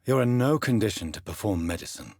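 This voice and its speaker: A principled, brooding English gentleman doctor turned vampire in dramatic video game style. The voice is very low and breathy, recorded close and dry. His delivery is formal and earnest, with a wide, expressive swing in intonation. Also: no echo, heavily acted. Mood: stern, questioning. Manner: formal, measured, earnest, intense. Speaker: video game lead character voice.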